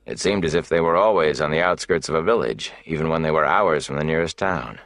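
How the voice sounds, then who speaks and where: A man's voice: very deep voice, fast talker, fairly bright tone, no echo, studio quality. A man narrating a fantasy novel as a scripted audiobook reading.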